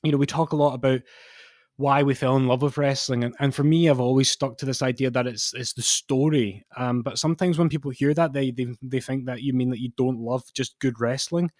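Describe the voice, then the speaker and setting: A man in his late twenties co-hosting a wrestling podcast, chatting casually in a halting, reflective way as he explains things. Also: medium pitch, darkish tone, no echo, clean studio sound. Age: late 20s. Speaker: podcast co-host.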